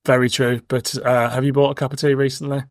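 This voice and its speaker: A man's voice: medium pitch, flat delivery, fast talker, no room sound, studio quality. A man hosting a review podcast in a casual, chatty style. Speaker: podcast host.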